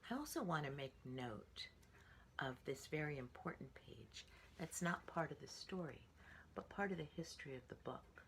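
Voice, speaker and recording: Woman, 60s, low and very animated children's storytime reader, rhythmic delivery, some background noise. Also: moderate pace, gravelly. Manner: expressive, sing-song rhythmic reading. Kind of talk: reading a picture book aloud to children.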